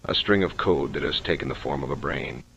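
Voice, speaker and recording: A man playing a methodical, sceptical investigator who records case notes in a measured, analytical way, as scripted analog horror narration. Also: a bass voice, vocal fry, fairly bright tone, exaggerated voice. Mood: thoughtful, analytical. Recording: dull lo-fi sound, faint noise.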